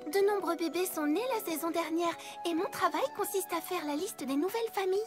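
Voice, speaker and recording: A gentle, easily upset young female cartoon pony. The voice is extremely high, sweet and delicate, with a polite, timid manner. Loud music plays behind it. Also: fairly quick. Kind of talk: animated cartoon dub acting.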